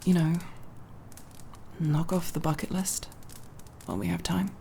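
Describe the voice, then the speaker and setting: A young woman playing a guarded but tender tomboy friend in an ASMR roleplay, speaking intimately and haltingly, with sincere, teasing, casually sweary delivery. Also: low-pitched, steady tone, warm tone, slight background noise. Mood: soft teasing. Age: young adult. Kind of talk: ASMR roleplay, intimate one-sided dialogue.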